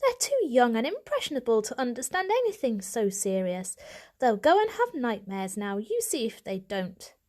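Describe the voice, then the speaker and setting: A woman's voice: fairly high pitch, very animated, normal speed, darkish tone. Woman as a fussy, scolding mother cat. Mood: tutting, reproachful. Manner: tutting, indignant.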